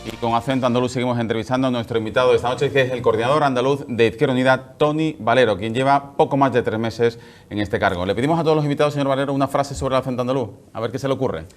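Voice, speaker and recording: A man hosting a regional TV interview show, introducing guests and panelists in a formal, brisk way. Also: medium pitch, faint music behind. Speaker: TV talk show host.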